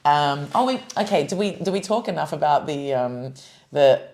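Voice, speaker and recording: Low-voiced woman podcast host, lively. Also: normal speed, vocal fry. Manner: rambling, lots of fillers and restarts. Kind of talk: casual chat.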